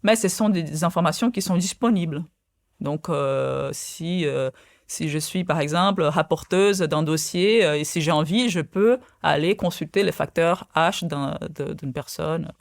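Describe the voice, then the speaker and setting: A woman's voice: deep voice, unhurried, creaky, no echo, studio quality. Hesitant woman. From Brazil. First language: Portuguese. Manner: hesitant, many fillers and restarts. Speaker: guest, quantum physicist. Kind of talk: podcast interview.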